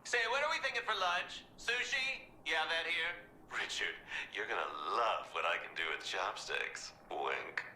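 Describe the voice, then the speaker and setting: An actor playing a manic, theatrical clown villain in a video game, mocking and flirtatious. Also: male voice, lively intonation, moderate pace, sharp tone, echoey room, slight background noise. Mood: playful teasing. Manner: theatrical, taunting, swooping between shrill and low. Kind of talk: video game cutscene acting.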